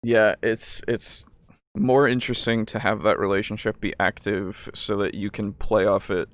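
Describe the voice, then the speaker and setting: A man's voice: on the low side, level tone, normal speed, no room sound. Man chatting casually, very dry sound. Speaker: podcast co-host. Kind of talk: casual tabletop gaming discussion.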